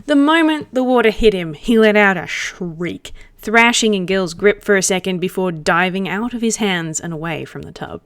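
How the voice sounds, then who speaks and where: A woman's voice: mid-range voice, animated, normal speed, no room sound, studio quality. A woman narrating a roleplay story read aloud in the third person. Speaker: roleplay reader.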